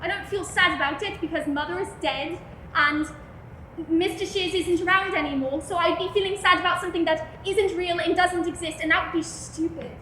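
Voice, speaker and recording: A woman playing a literal-minded teenage boy who speaks flatly and exactly, stammering when pressed. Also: extremely high pitch, brisk. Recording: echoey room with background noise.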